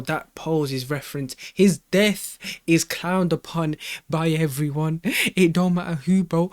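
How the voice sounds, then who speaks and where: A man's voice: sits high, no echo. Young man, reaction host, casual slang, repetitive exclamations, very dry room sound.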